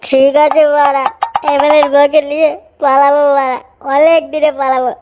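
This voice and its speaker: Man as a squeaky boastful cartoon drunkard. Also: level tone, normal speed, noisy background. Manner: coarse, bragging, swearing. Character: boastful, lecherous, drunken male cartoon friend. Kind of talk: amateur comedy cartoon dub.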